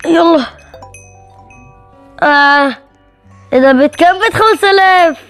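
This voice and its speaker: A woman's voice: very high voice, normal speed, fairly bright tone, soft background music. A female gamer with an Egyptian Arabic accent bantering casually as a co-host, playful and teasing. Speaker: gaming video co-host.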